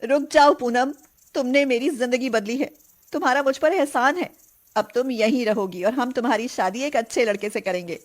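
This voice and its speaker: A woman's voice: fairly high pitch, moderate pace, natural voice. A woman voicing a jealous, hot-tempered young wife in a family moral story, dramatic and imploring. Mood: grateful.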